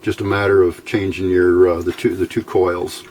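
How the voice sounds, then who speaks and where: A man giving an informal, unscripted hands-on tutorial as host, explaining step by step in a halting, plain-spoken way. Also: sits low, level tone, a little mellow. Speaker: host of a DIY electronics tutorial channel. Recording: clearly noisy home recording.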